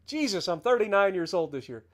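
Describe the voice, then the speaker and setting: A man's voice: high voice, very animated, fairly quick, fairly bright tone. Profane, ranting man in his thirties. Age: 30s. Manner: profane, heated and sarcastic. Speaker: game streamer. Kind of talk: casual livestream rant.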